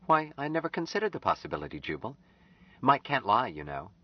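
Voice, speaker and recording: A man's voice: medium pitch, big pitch swings, brisk, pitched above the performer's own voice. A man voicing an earnest, pleading young woman. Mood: reassuring. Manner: pleading, gently insistent. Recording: slightly dull lo-fi audio.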